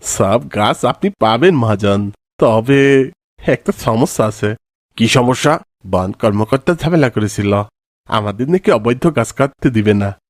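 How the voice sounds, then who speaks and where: A man acts out a scheming forest bandit from a cartoon. He sounds threatening and gloating, drawing out his taunts at a middling male pitch, recorded close and dry. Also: expressive, measured pace, no room sound, natural voice. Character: menacing forest bandit chief and scheming timber merchant villain. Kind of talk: cartoon villain voice acting.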